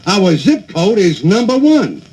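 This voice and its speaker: A man voicing a loud, pompous caveman who talks with mock-formal bluster. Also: high-pitched, sing-song, a little slow, husky, exaggerated voice, poor audio. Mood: blustery.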